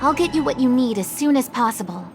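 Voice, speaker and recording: A woman's voice: high voice, animated, darkish tone, soft background music. A woman playing a polite, dutiful official in a video game, speaking in a formal, careful, helpful way. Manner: formal, measured, courteous.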